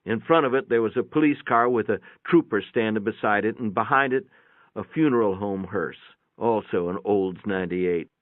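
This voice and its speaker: A man giving a dramatic first-person reading of a short story in a measured, reflective way. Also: lowish voice, dry recording. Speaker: story reader. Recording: thin band-limited phone-like sound, dry.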